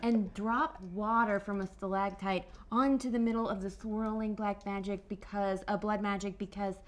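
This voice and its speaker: A woman playing a tabletop roleplaying game, casual and playful, thinking out loud as she plans her moves. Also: mid-range voice, steady tone, normal speed. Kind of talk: casual improvised game play.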